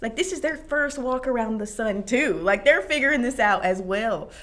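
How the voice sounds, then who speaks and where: A woman hosting a heartfelt, faith-based conversation, speaking in an animated, emphatic way and quick to laugh. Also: on the high side. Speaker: host interviewing her mother.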